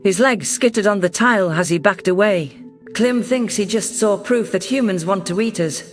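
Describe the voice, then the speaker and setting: A man voicing a caring, composed female alien leader who narrates a sci-fi story in a gentle, reflective way. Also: high-pitched, normal speed, faint music behind, lightly acted. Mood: concerned. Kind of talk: first-person sci-fi story narration and dialogue.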